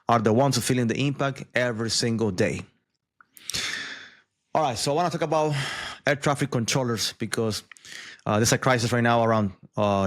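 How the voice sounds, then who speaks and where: A man giving casual news commentary, conversational, with frequent asides and repeated words. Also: medium pitch.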